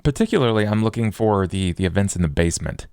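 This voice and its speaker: Very deep, creaky-voiced man, dry sound. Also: lively intonation, fast talker, darkish tone, dry recording. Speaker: tabletop game player. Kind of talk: casual game discussion.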